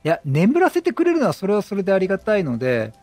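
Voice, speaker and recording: A man doing chatty game commentary, animated, talking to himself and to the characters on screen. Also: high voice, quick pace, soft background music. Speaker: gameplay commentator.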